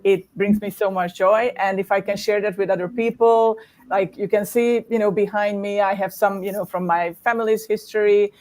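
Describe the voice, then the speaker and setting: A woman from Hungary, talking as a guest artist on a video call in a warm, chatty way with laughs between her words. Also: medium pitch, fairly even intonation, moderate pace, poor audio. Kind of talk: casual interview talk.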